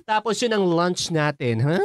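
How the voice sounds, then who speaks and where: An actress playing a chatty, affectionate young best friend in a Filipino radio drama, playful and bubbly. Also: female voice, on the low side, expressive, moderate pace, no echo, clean studio sound, close to an everyday voice.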